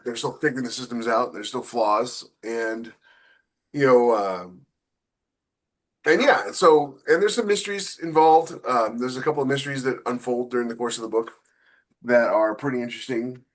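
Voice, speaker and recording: A man talks in an informal podcast style, as an author explaining his own work. He thinks aloud, stopping and restarting as he builds his points, often repeating a phrase before moving on. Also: mid-range voice. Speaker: podcast co-host and novelist. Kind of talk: casual talk about own books.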